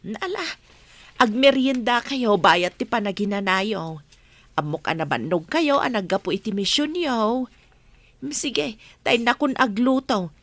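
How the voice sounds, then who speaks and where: A woman's voice: fairly high pitch, expressive, a little slow, a bit bright, no room sound, full character voice. A woman playing a respectful, attentive household nanny and cook in an Ilocano radio drama. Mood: attentive, caring.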